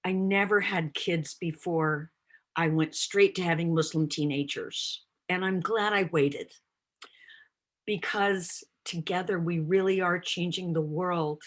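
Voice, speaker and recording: A woman's voice: lowish voice, fairly even intonation, a little slow. A woman in her sixties giving an earnest, rousing inspirational talk as a guest speaker at a church service, addressing the audience directly over a video call. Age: 60s.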